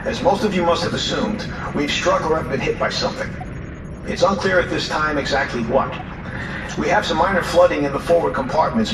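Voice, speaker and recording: A man's voice: on the high side, natural voice. A man playing a formal, authoritative submarine captain in an audio drama, with clipped military speech. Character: commanding, dutiful male submarine captain. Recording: roomy, distant, noisy vehicle ambience.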